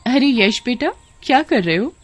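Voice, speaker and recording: A woman's voice: a little high, expressive, moderate pace, soft background music, pitched above the performer's own voice, natural voice. A woman voicing a scheming stepmother who puts on doting, coaxing sweetness in a cartoon story. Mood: sugary, coaxing. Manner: coaxing, sweet-talking, falsely wounded. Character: scheming stepmother putting on sugary, doting affection.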